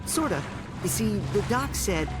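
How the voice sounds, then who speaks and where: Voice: medium pitch, big pitch swings, a little slow. An actor playing a cheerful, laid-back young male athlete who is helpful and carefree, in a video game cutscene. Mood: casual. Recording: loud music behind the voice.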